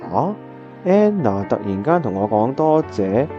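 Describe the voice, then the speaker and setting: An actor playing an earnest, caring young pianist in a Cantonese radio drama, with a Hong Kong accent. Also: male voice, medium pitch, sing-song, measured pace, dull audio, music in the background. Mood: reflective narration. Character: earnest, caring young male pianist, narrating his memories. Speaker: voice actor narrating and playing the lead.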